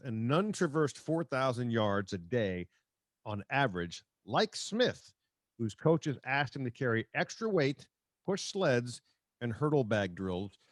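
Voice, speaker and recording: A man hosting a sports talk show, speaking conversationally and haltingly as he comments and reads out quotes. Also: medium pitch, dry recording.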